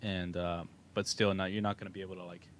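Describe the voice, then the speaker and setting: A deep, low-pitched man with a Texan accent, chatting loosely on a livestream. He rambles along with plenty of fillers. A faint hiss of noise sits under his voice. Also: quick pace. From Texas. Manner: relaxed, rambling, with fillers and laughs. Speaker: co-host of a crypto livestream. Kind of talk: casual livestream chat.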